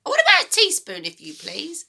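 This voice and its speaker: A woman's voice: extremely high pitch, wildly swooping pitch, moderate pace, vocal fry, sharp tone, voice pushed up, heavily acted. A woman voicing a grumpy, selfish old grandmother in a children's storybook, scolding and snapping. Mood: demanding.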